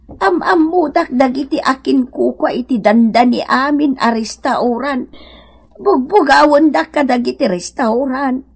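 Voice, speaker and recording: Actress as poor elderly Ilocano mother: slow, highish voice, drawn-out plaintive exclamations, radio drama melodrama. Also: gravelly, slightly rough, heavily acted. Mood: protesting. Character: stubborn, plaintive old beggar mother in shabby clothes.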